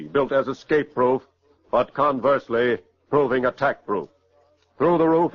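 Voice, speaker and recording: Grave male 1940s radio narrator, muffled. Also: medium pitch. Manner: grave, formal.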